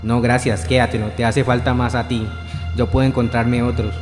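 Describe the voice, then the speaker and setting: Devilish vagabond tempter , male actor, radio play. Grandiose, persuasive, monotone delivery, with music underneath. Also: mid-range voice, normal speed, close to an everyday voice. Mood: sly, friendly. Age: young adult. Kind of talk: student radio drama reading. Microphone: shared handheld microphone. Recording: echoey hall-like room, music behind.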